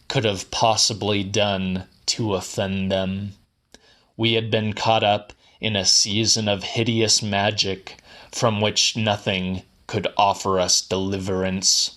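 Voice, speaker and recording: A man giving a deliberate, theatrical dramatic reading of literary weird fiction as an audiobook narrator. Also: lowish voice, pushed and bright.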